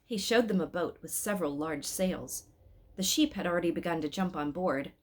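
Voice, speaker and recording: A woman's voice: fairly low pitch, normal speed. Woman reading a storybook aloud. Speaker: reader of an Advent story.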